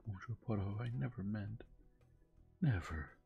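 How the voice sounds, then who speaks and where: A man's voice: on the low side, unhurried, creaky, muffled recording, faint music behind. A man voicing a distraught, tearful young woman who speaks in a pleading way. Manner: pleading, emotional.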